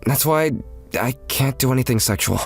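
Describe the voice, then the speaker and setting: A man's voice: medium pitch, normal speed, gravelly. A man playing a protective young step-brother, earnest, hesitant and often exasperated, in an English-dubbed manga romantic comedy. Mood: resolute. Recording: music behind.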